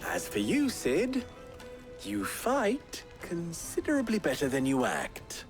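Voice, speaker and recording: An arch, smug leader swoops up and down through teasing, formal lines. It is a theatrical fantasy game figure, with music clearly behind. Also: male voice, a little high, huge pitch swings, takes it slowly, full character voice. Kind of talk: video game cutscene acting. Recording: game dialogue over music.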